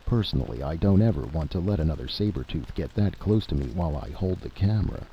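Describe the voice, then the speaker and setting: A man's voice: deep voice, animated, quick pace, highs cut off, slight background noise. A man voicing a gloomy, wary ex-ambassador turned adventurer who complains bluntly in a story reading. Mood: aggrieved.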